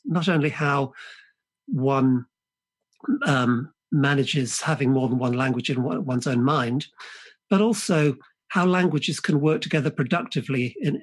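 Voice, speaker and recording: Husky guy, polish first language, podcast guest, keeps restarting and repeating words. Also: mid-range voice. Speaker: guest author. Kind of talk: podcast interview.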